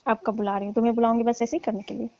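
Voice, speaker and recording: A woman's voice: on the high side, little pitch movement, very fast, mellow, dry recording, lightly acted. A woman playing a teasing, mock-annoyed middle-aged auntie chatting casually and coyly on a phone call. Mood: teasing complaint. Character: scolding, coy neighbourhood auntie. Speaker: caller in a staged comic phone call. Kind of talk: casual flirtatious phone chat. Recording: dull lo-fi phone-call audio.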